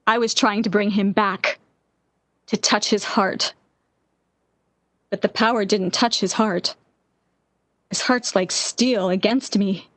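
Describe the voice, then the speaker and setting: A woman playing a distraught, obsessive lovesick woman in a 1940s-style radio drama, with an intense, halting, theatrical delivery. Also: mid-range voice, fairly even intonation, a bit bright, dry recording. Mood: anguished guilt.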